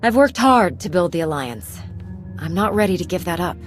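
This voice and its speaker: An actress voicing a confident, guarded commander in a video game, direct and self-assured. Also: female voice, on the low side, expressive, moderate pace, faint music behind, lightly acted. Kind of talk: video game cutscene acting.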